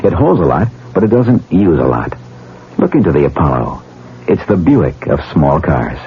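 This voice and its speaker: A man announcing a 1970s radio car commercial in a smooth, persuasive manner. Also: extremely low pitch, big pitch swings, normal speed, narrow, tinny audio. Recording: phone-thin vintage radio recording.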